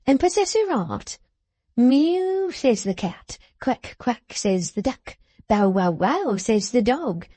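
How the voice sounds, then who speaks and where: Woman reading a bullying, mocking older rich man in a storybook; dark dry voice. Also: medium pitch, lively intonation, measured pace, husky, no room sound.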